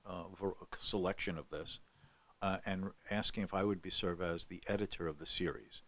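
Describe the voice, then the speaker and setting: A man's voice: low voice, steady tone, moderate pace, a bit bright. An older man telling a long story on a podcast, with many fillers and restarts. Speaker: guest scholar. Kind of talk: podcast conversation.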